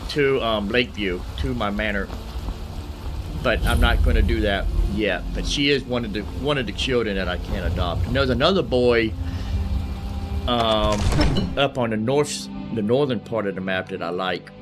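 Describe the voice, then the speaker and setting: A man giving casual video game playthrough commentary, with hesitant, drawn-out phrasing. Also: fairly low pitch. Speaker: gaming video host and player. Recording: game music under the commentary.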